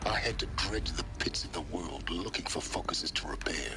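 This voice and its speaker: A man voicing a cryptic, secretive scholar in a video game, speaking in a low, measured, aloof way with some whispered lines. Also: music in the background, close to an everyday voice. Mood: cold, aloof. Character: cryptic deep-voiced male scholar, cold and secretive.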